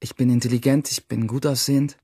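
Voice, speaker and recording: A man's voice: medium pitch, steady tone, fast talker, warm tone, slightly rough, no echo, studio quality. An actor playing a grandiose, self-mocking teenage nerd loner, narrating his own story dramatically in a radio play. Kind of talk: first-person radio play monologue.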